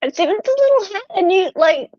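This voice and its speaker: A woman's voice: extremely high pitch, brisk, no echo, muffled recording. Thai accented woman, very up and down voice, playful guest on a stream, dry sound. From Thailand. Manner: playful, animated, teasing. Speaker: guest podcaster. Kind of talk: casual livestream chat.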